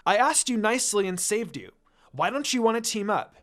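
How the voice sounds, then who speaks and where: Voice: medium pitch, very animated, a little fast, a bit bright, voice pushed up. A narrator voicing a determined, hot-tempered young ninja girl in a story reading, insistent and scolding. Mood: indignant.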